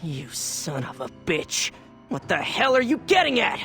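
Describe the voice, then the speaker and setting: A brash, defiant hothead from a fantasy game. The delivery is dramatic and high-pitched, forceful in tone and extremely animated, over background music. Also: male voice, measured pace, creaky, full character voice. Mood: outraged.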